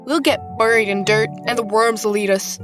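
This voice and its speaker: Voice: mid-range voice, steady tone, normal speed, music in the background, close to an everyday voice. A performer playing a small, literal-minded, doubting school pupil in a horror audio drama. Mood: cheeky, doubting. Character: small, skeptical, matter-of-fact school pupil.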